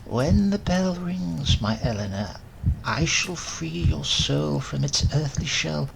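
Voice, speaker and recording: A man voicing an exalted, ruthless male scientist in a horror story, with slow, drawn-out, imperious delivery. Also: fairly high pitch, vocal fry, noisy background, full character voice. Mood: tender, fanatical.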